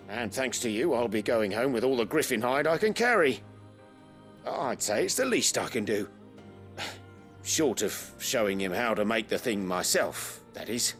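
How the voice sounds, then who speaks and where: A man's voice: fairly low pitch, fairly bright tone. A man playing a humble, talkative leather craftsman in a video game, wry and self-deprecating. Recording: game music under the voice.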